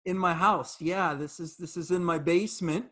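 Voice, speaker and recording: A middle-aged man hosts a casual talk in an earnest, reflective way. His voice sits high for a man, and he often circles back over his words. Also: normal speed, a bit bright. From Jamaica, raised in Canada. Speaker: fitness trainer and host. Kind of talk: casual conversational interview.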